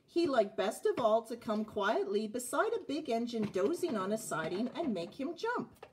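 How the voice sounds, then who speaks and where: A woman in her forties reading a children's picture book aloud, with expressive phrasing and a slightly husky voice. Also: on the high side, moderate pace, slight background noise. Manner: expressive, clearly phrased narration with asides about the pictures. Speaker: storybook reader. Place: indoors, child's playroom.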